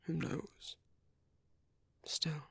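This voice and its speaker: A man voicing a kindly, caring British vampire priest in a soft ASMR roleplay, breathy and often near a whisper, with a hesitant, politely formal way of talking. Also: extremely slow, no room sound. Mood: soft, reflective.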